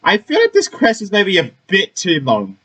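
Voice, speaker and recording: A man's voice: high-pitched, huge pitch swings, moderate pace, a bit bright, slightly rough. A young man giving casual let's-play commentary, rambling and often trailing off. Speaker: gaming YouTuber. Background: game music.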